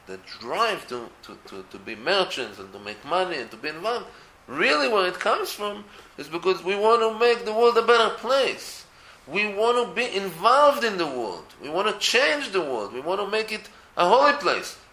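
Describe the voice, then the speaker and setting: Israeli guy talking torah casually, gravelly bright voice, swings up and down a lot, echoey room. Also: high voice, moderate pace. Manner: animated, many restarts, rising to emphatic peaks. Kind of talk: casual religious talk.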